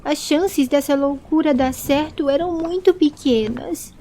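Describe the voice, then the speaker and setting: A woman's voice: sits high, unhurried, mellow, slight background noise, pitched above the performer's own voice. A woman voicing a nervous, earnest young boy in an audio story, plaintive and apologetic. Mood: plaintive, defensive.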